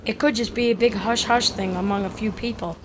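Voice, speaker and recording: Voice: medium pitch, steady tone, a little fast, noisy background, close to an everyday voice. A young performer playing a skeptical, casual ten-year-old boy in an amateur audio drama. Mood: musing.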